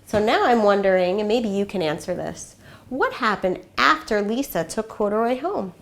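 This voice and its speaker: A woman reads a children's storybook aloud as a teacher would. Her delivery is expressive and instructive, with a medium pitch that rises and falls in a lively way. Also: normal speed, gravelly.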